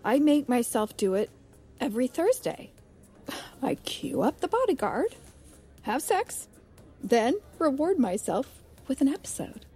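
A woman playing an excitable, giggly married girlfriend in an audio drama, with a gossipy, animated delivery. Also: high-pitched, measured pace, slightly rough, full character voice. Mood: giggly, confiding. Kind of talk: naturalistic audio drama dialogue. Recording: music playing behind the voice.

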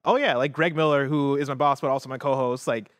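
A man co-hosting, chatting casually and telling excited, rambling stories full of fillers. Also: medium pitch, fast talker, no room sound. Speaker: co-host. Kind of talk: casual chat.

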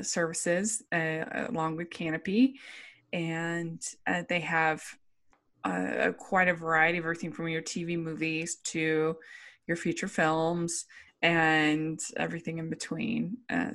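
Middle-aged female host, relaxed chat, frequent laughter, low pitch. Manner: chatty, laughs often, filler-heavy. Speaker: podcast host. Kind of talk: casual chat.

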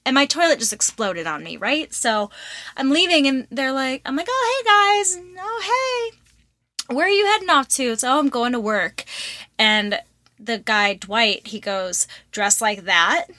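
A woman co-hosting a podcast, chatting and telling personal stories in an expressive, reactive way, acting out bits of dialogue. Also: high voice, a little slow, dry recording. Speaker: podcast co-host. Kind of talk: casual chat, personal storytelling.

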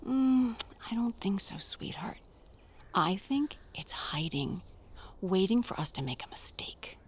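Animated anxious protective mother, higher voice, coaxing, often hushed, audio drama. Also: measured pace, a little hiss or hum.

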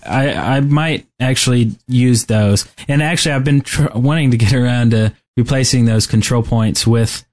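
A man's voice: mid-range voice, fairly even intonation, normal speed, hardly any pauses, a little mellow. A man with a mid-range voice chats casually as a podcast co-host. He talks informally about games and slips in jokes as he goes. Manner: joking, informal. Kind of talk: casual gaming podcast chat.

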